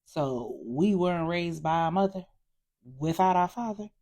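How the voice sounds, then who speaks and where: A woman's voice: fairly low pitch, animated, measured pace, dark tone. A woman voicing a feisty grown sister in an audio story, blunt, sassy and colloquial. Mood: combative, sassy. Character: feisty, combative grown younger sister, Stephanie.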